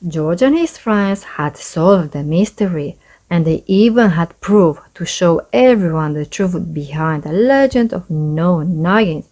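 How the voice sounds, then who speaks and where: A woman reading a children's story aloud in a measured, expressive storytelling manner. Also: on the low side. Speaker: storybook reader. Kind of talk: children's read-aloud narration.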